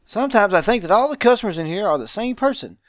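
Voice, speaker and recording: A man's voice: high voice, expressive, quick pace, no echo, pitched above the performer's own voice. A man voicing a grumpy, sarcastic fat clerk from a visual novel, dismissive and easily bothered. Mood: irritated, sarcastic. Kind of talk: visual novel character read-aloud.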